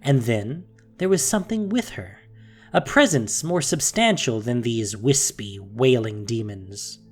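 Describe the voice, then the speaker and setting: A narrator reading a scripted fantasy story in a measured, dramatic way. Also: mid-range voice, lively intonation, soft background music.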